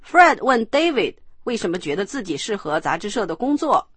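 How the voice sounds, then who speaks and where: A woman narrating and explaining a scripted language lesson in a clear, explanatory manner. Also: mid-range voice, big pitch swings, a little fast, a bit bright, no echo.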